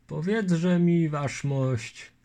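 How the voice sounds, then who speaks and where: An earnest, patient Lithuanian nobleman, voiced by a male audiobook reader. He speaks with old-fashioned courtesy, measured and slow. His voice is lifted a little above the reader's own. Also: fairly high pitch, warm tone, pitched above the performer's own voice. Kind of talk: audiobook character voice.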